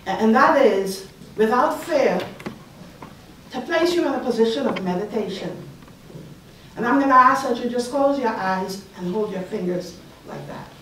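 A middle-aged woman from the Bahamas with a Bahamian accent, leading a slow, soothing guided meditation on stage as a panel artist. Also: a little high, animated, a bit bright, slight background noise. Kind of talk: guided meditation address to an audience. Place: large hall, on stage. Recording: echoey hall from far off.

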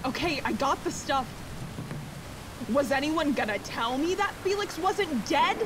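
A woman's voice: high voice, animated, a little slow. A woman playing an exasperated, stubborn crew member in a science fiction audio drama. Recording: loud rain and storm noise.

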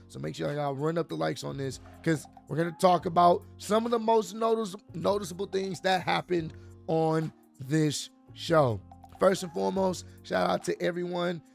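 A man in his thirties with a hoarse, worn-out voice, casually recapping a wrestling show for his channel from a home studio. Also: a little high. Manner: animated, talks with his hands, loose slangy delivery. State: hoarse, voice mostly gone. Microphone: large studio mic on boom arm. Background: soft instrumental music.

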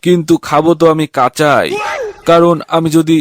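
A man's voice: on the high side, normal speed, no room sound, clean studio sound, natural voice. A man with a Bangladeshi Bengali accent voicing a bumbling, boastful desert survival adventurer in a comedic cartoon, mock-serious and exclamatory. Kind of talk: comedic cartoon parody of survival show narration.

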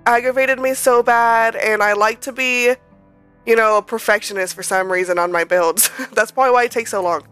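Woman, rambling vlog voice-over, a bit nasal from a cold, smooth clear tone, faint music behind. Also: medium pitch, fairly bright tone. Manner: chatty and rambling, many ums and restarts. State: slightly nasal, recovering from a cold. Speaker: Sims speed-build creator. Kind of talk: casual voice-over commentary.

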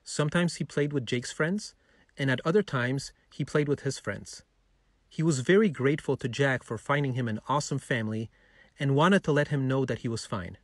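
A man's voice: mid-range voice, moderate pace, dry recording, studio quality. A man narrating a scripted bedtime story for children as a storyteller.

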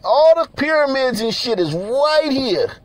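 A man's voice: extremely high pitch, very animated, measured pace. A man from Nigeria giving blunt, profane, repetitive commentary over pictures, often laughing between points.

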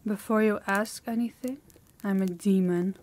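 A woman's voice: mid-range voice, fairly even intonation, moderate pace, a little mellow, slight background noise, natural voice. A woman voicing a harsh, dominant female demon in an intimate audio roleplay, with a haughty, commanding, taunting manner. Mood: seductive menace. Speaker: voice actor.

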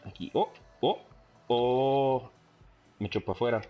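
A man's voice: medium pitch, a little slow, soft background music. A man with English as his first language and a Chilean-tinged Spanish accent, casually commentating a football video game, hesitating often and switching between Spanish and English. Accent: Chilean Spanish, English-speaking learner. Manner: mixes Spanish with English, hesitant, frequent fillers; bursts of loud excitement at plays. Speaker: gaming YouTuber.

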